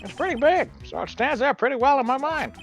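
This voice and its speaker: A performer voicing a scruffy, eager, bumbling male police detective with a folksy, excitable manner in a live game fan dub. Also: high voice, fairly quick. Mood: earnest. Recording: music behind the voice.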